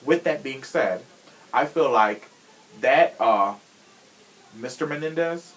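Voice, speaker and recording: A man's voice: medium pitch, animated, slow, poor audio. Chatty, sassy man hosting a podcast. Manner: chatty, sassy, slangy, emphatic. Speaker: podcast host. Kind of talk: casual opinionated chat.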